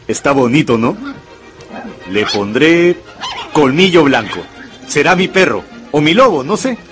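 Radio drama role: rough, bossy, amused tribesman, animated and fairly high-pitched. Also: slow, long pauses, heavily acted. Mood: fond, amused. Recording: light music under the voice.

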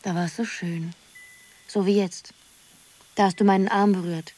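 An actress playing a dreamy, wistful young woman who muses haltingly in a poetic radio drama. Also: mid-range voice, steady tone, dark tone, natural voice, poor audio. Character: Zoe, dreamy young woman drifting through a surreal world.

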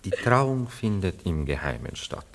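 An actor playing an evasive, sentimental young womanizer about to marry, in an animated German radio play. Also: low voice, full character voice. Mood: pleading, evasive. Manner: animated storytelling, quick swings into murmurs and quotes. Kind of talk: German radio play acting, theatrical dialogue.

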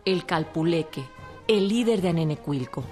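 A woman's voice: on the low side, animated, moderate pace, darkish tone, faint music behind. A woman giving scripted documentary narration for a radio drama.

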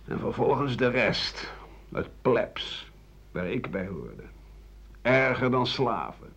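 An actor playing an embittered older French doctor and writer who defends himself against treason charges in an aggrieved, emphatic radio play monologue. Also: male voice, mid-range voice, big pitch swings, slow pace, creaky, fairly bright tone, slightly rough, exaggerated voice. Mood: scornful. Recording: dull 1980 radio drama recording.

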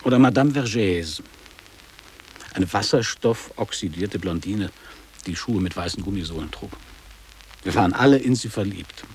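A man's voice: sits low, expressive, moderate pace, pauses often, a little mellow, a little hiss or hum. An actor playing a nostalgic man in his fifties in a radio play, declaiming literary reminiscences in clipped phrases. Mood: reminiscing. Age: 50s. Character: nostalgic man around fifty revisiting his old boarding school.

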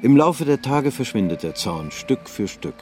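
A man narrating a literary radio drama in a measured, unhurried way. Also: medium pitch, very animated, music in the background.

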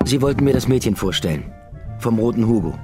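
An actor playing a terse, businesslike police inspector with a guarded, uneasy manner in a German radio drama. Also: male voice, medium pitch, animated, a little fast, darkish tone, faint music behind, close to an everyday voice. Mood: curt. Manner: curt, guarded.

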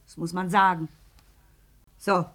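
A woman's voice: lowish voice, level tone, slow pace, a bit bright, full character voice. An actress playing a stern, sarcastic female business owner in a 1950s German radio drama, curt and commanding. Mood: bitter, controlled.

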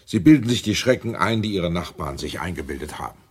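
An actor playing a sober, sceptical, paternal landowner with a husky voice in a radio play. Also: male voice, deep voice, lively intonation, fast. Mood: grave, dismissive.

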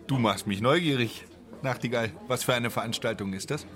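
An actor playing a restless, inquisitive doctor in a radio play, questioning in an urgent, brooding way. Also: male voice, mid-range voice, fairly quick, fairly bright tone, natural voice. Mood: curious, pressing. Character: restless, inquisitive doctor drawn to forbidden adventure.

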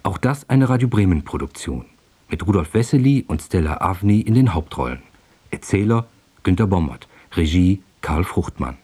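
A man's voice: low-pitched, expressive, moderate pace, dark tone, husky. A man working as a radio announcer, introducing a play in a formal scripted presentation.

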